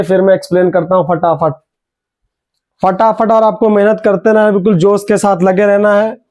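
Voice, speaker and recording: A man's voice: sits high, darkish tone. A young man with a sore throat teaching an online exam reasoning class, urging students on and repeating key words.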